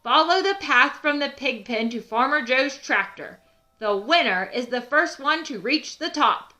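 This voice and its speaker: A woman voicing a brisk male farm field-day announcer in a children's storybook, calling out loudly. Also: high voice, animated, soft background music, voice pushed up, full character voice. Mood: rousing. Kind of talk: children's storybook read-aloud.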